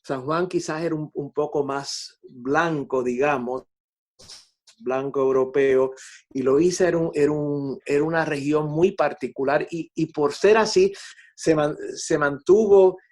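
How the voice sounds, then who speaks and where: Puerto Rican man with a higher-pitched voice. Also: a little mellow. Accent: Puerto Rican Spanish. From Puerto Rico. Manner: halting, repeats words for emphasis. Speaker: composer being interviewed. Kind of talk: conversational interview, reflective explanation.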